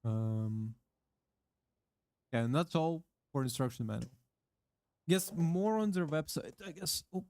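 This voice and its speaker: A man streaming from his home setup, reading a product manual aloud haltingly into a close condenser microphone, with many fillers and offhand swearing. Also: on the high side, expressive, darkish tone, no room sound. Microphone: condenser microphone with pop filter, close.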